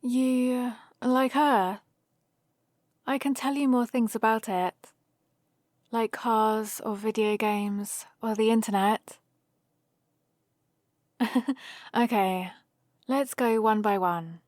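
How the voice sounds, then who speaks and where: A woman's voice: a little high, steady tone, slow pace, a little mellow, no echo, studio quality. A woman playing a friendly, teasing human heroine in an ASMR roleplay, explaining things casually and patiently to the listener. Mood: playful, friendly. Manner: casual, playful, explanatory rambling. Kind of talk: ASMR roleplay, one-sided conversation with the listener.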